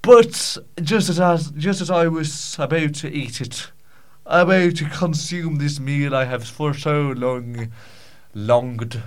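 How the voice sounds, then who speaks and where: A man's voice: a little high, slow pace, clean tone. A man putting on a pompous, rambling Scottish storyteller. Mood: grandiose, rambling. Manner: mock-solemn, archaic phrasing, stumbling and repeating. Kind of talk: improvised comic monologue in character.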